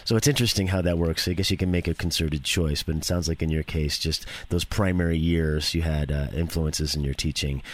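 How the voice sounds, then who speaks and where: Very deep-voiced chatty host from New York. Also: male voice, fairly even intonation, a little fast, hardly any pauses. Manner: chatty, enthusiastic, rambling asides. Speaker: radio show host. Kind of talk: casual interview chat.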